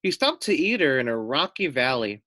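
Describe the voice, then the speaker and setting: Man, teacher's read-aloud, children's story, lively intonation, dry close sound, asides to listeners. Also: medium pitch, normal speed, dry recording. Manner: addresses listeners with questions and asides.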